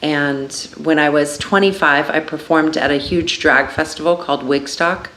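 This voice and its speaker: A woman's voice: low-pitched, fairly even intonation, moderate pace, a bit bright. A woman from California who performs drag, telling her own story candidly in an interview. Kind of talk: personal interview testimony. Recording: echoey room.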